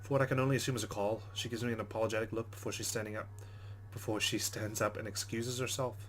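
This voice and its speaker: A man's voice: fairly low pitch, fairly even intonation, quick pace. A man hosting a game playthrough, reading the story text aloud with casual asides. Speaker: let's play host.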